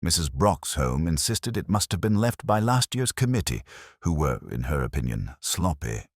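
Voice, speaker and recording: A man narrating a cosy mystery story in a measured, formal, literary manner. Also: extremely low pitch, very animated, no room sound, studio quality. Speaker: audiobook narrator.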